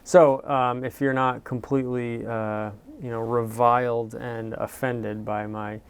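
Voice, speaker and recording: A man hosts a laid-back car review, wandering from point to point and poking fun at himself. His voice has a gravelly fry under a smooth, resonant tone. He pads his talk with plenty of fillers. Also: mid-range voice, flat delivery, unhurried. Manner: meandering and self-deprecating, heavy on fillers. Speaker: host of a car review show. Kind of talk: casual, rambling car review.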